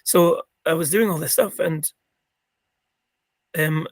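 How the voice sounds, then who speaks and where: A middle-aged man talks as a guest in a casual interview, his voice croaky and gravelly with a husky edge. He sits a little higher in pitch than most men and rambles with plenty of ums and restarts. The sound is dry and close, with no room echo. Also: takes it slowly.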